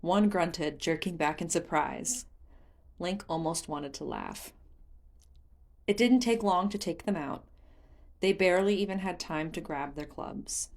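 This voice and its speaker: A woman's voice: fairly low pitch, a little slow. A woman reading scripted story narration as the narrator of a fan fiction audiobook.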